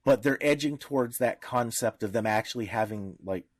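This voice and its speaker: A man's voice: medium pitch, moderate pace, dry recording. A man speaking as a podcast panelist in informal discussion, explaining in a didactic way with examples.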